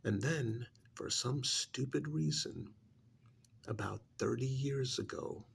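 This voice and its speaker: A man voicing an ancient alien creature who scorns humans, in a gravelly, breathy ASMR roleplay monologue that often sinks into whispers, slow and brooding. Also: mid-range voice. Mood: weary, annoyed. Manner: slow, deliberate, brooding, with sighs and pauses.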